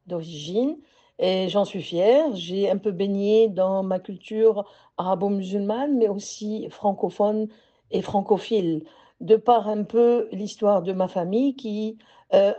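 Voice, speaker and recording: A woman teacher from Algeria with an Algerian accent, giving a measured personal message with hesitant pauses. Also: medium pitch, warm tone, dull audio.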